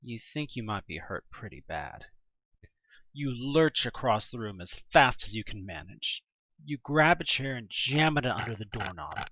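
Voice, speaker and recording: A narrator giving a theatrical, expressive reading of a scripted horror story told in the second person. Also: medium pitch, measured pace, no echo, rough recording. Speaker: audio play narrator.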